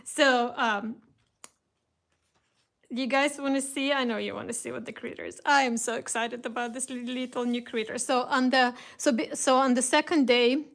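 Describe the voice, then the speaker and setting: A woman's voice: fairly high pitch, steady tone, normal speed, creaky, fairly bright tone. A woman with a Russian accent hosting a casual live craft kit reveal, chatting with viewers, greeting them by name and restarting her sentences often. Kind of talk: casual live-stream chat.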